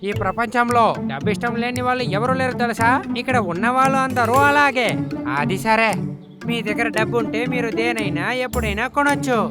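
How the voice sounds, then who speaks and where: A woman's voice: a little high, fairly quick, lightly acted. A woman voicing a blunt, teasing cartoon city dweller in a dub, lecturing as they talk. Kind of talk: Telugu cartoon dubbing. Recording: loud music over the voice. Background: loud cartoon music.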